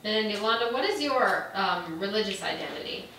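A woman interviewer gently reads out prepared questions. She is off-mic and far away, her voice washed in heavy room echo with faint ambience behind. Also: medium pitch, lively intonation, moderate pace, noisy background. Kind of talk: oral history interview. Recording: very echoey, off-mic from a distance.

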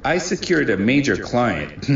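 A man acts out a boastful young salesman in a manga cartoon. He is smug, suspicious and dismissive, with varied, slow delivery. The sound is slightly dull, with music behind. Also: mid-range voice, big pitch swings, gravelly, slightly rough, exaggerated voice. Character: cocky, suspicious young male office salesman, boastful rival. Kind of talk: manga dub character acting.

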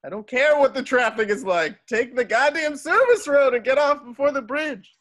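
A performer voicing a cranky, loud old male talent agent with a blustering manner over a video call. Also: high-pitched, expressive, normal speed, pitched above the performer's own voice. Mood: exasperated. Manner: exasperated, blustering.

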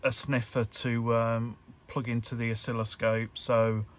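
Guy doing a diy tutorial, really slow n flat, kinda halting, thinking out loud. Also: medium pitch. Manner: halting, hesitant, thinking aloud. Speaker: electronics hobbyist presenter. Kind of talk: informal hands-on tutorial narration.

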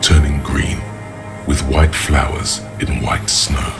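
Very deep, grave game narrator. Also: male voice, steady tone, gravelly, exaggerated voice. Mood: measured, observant. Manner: measured, deliberate, literary. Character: grave, observant inner voice narrating a detective's thoughts. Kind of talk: dramatic second-person game narration. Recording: music under the voice. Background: background music.

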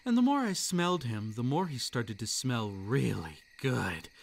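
A man's voice: medium pitch, big pitch swings, a little slow, vocal fry, a little hiss or hum. A man voicing a male kitsune spirit in an audio roleplay, gleeful and menacing as he savors each word. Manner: relishing, teasing storyteller.

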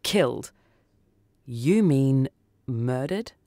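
A man's voice: medium pitch, lively intonation, drawn-out words, warm tone, dry recording. A man playing a bewildered dead killer in a story, normally collected but now incredulous and questioning, close to panic.

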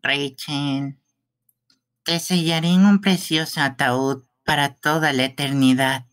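A man's voice: on the high side, fairly even intonation, slow, a bit bright, smooth. A man voicing a scornful, possessive and menacing boy in an amateur video game dub. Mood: scornful, taunting. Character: taunting, possessive boy obsessed with coffins.

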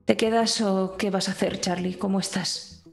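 A woman's voice: mid-range voice, steady tone, moderate pace, gravelly, soft background music. A woman speaking casually in character as a player in a tabletop roleplaying game.

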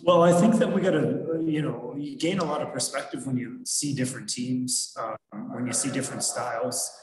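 Middle-aged male coach, steady mid pitch, methodical postgame answers, echoey video-call sound. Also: a crowd in the background. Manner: measured, analytical, frequent fillers. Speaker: hockey head coach. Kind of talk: postgame press conference answers. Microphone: video call webcam. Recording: roomy echo.

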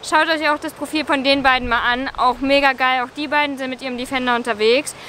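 A young woman with a high voice chats casually into a camera held at arm's length, filling pauses with ums. She is vlogging outdoors by the sea, with faint wind noise behind her. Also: steady tone, bright, forceful tone. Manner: chatty, with frequent ums. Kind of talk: casual travel vlog chat. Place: outdoors by a beach, later inside a van.

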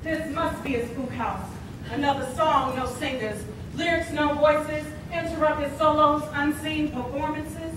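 A woman's voice: sits high, steady tone, unhurried. A woman acting an earnest figure who recites poetry in a theatrical stage style. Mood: urgent. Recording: echoey hall, far off, noisy.

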